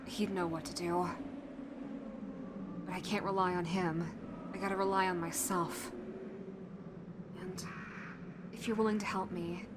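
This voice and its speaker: A woman playing a determined, protective young fighter in a zombie outbreak audio roleplay, speaking earnestly and haltingly to the listener. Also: lowish voice, fairly even intonation, very noisy, lightly acted. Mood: earnest, pleading.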